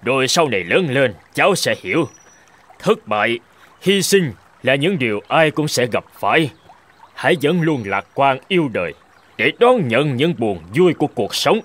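A man's voice: medium pitch, very animated, normal speed, fairly bright tone. A man playing a pompous animal in a cartoon, speaking like an orator. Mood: consoling. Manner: oratorical, declaiming. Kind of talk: cartoon voice acting. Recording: music bed behind the voice.

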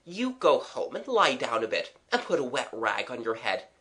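A reader voicing a cool, courteous and bossy schoolboy who gives measured advice in a storybook. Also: mid-range voice, very animated, sharp tone. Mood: coolly polite. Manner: polite, measured, advising.

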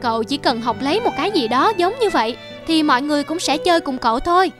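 A kindly cartoon horse, speaks in a very high voice. It sounds concerned and eager to advise, with music clearly playing behind. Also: female voice, lively intonation, quick pace. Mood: advising. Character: kindly horse, proud of running, giving advice. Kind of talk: cartoon fable voice acting. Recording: music under the voice.

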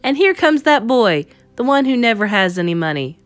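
A woman's voice: fairly high pitch, big pitch swings, moderate pace, no room sound. A woman reading a storybook aloud as a kindly, nostalgic old male storyteller who muses and exclaims to himself. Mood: playful, welcoming. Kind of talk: storybook character voice in a read-aloud.

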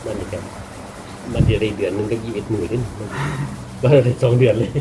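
A man's voice: fairly low pitch, steady tone, fast. A man with a northeastern Thai Isan accent, chatting casually as he shows visitors around. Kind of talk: casual chat. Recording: noisy outdoor ambience with vehicle sounds.

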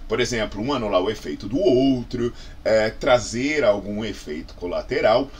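A man's voice: fairly low pitch, moderate pace, slightly rough. A man in his forties with a Brazilian accent, giving an informal, conversational explainer to camera with rhetorical questions. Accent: Brazilian Portuguese. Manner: animated, conversational, frequent "né" tags and rhetorical questions. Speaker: fitness science YouTuber, presenter. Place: small indoor office with bookshelves.

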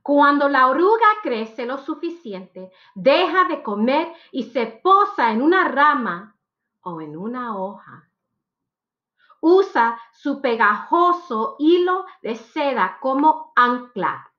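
A woman's voice: high voice, big pitch swings, slow, dull audio. A woman in her thirties reads picture books aloud for children, expressively and with careful phrasing, as a teacher would.

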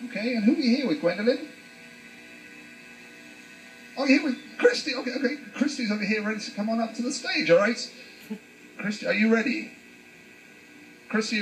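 A male emcee on a cruise ship stage, talking into a handheld mic in an upbeat, coaxing way as he rouses the crowd. His voice runs fairly high. A live band plays clearly behind him. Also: fairly bright tone, low-quality recording. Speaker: show host, emcee. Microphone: handheld microphone. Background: live band music.

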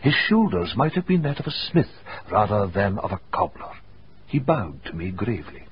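An actor playing an urbane gentleman narrating a radio drama in a formal, wry, literary manner. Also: fairly low pitch, very animated, moderate pace. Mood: wry, measured. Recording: muffled radio broadcast, faint hiss.

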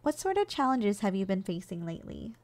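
A woman playing a gentle, doting giantess secretary in an ASMR role-play, coaxing and nurturing in manner. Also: medium pitch, sing-song, brisk. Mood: calm, soothing. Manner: soft, coaxing, nurturing, teasingly affectionate. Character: gentle, doting giantess secretary caring for her shrunken boss.

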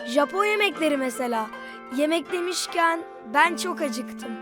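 A woman voicing a curious, eager little child in a children's cartoon, with an expressive, inquisitive delivery. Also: high voice, normal speed. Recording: music under the voice.

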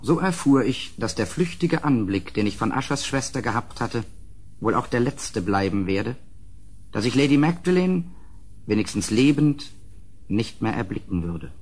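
An actor playing an uneasy gentleman who recounts a gothic horror in an audio drama, in a measured, grave, literary manner. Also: medium pitch, slightly rough. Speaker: narrator and character.

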